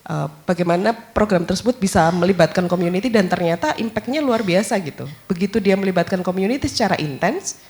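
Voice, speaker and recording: A woman's voice: on the low side, animated, a little fast, a little mellow. A woman, an author answering audience questions at a book launch, explaining at length with many hesitations. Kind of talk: academic Q&A answer.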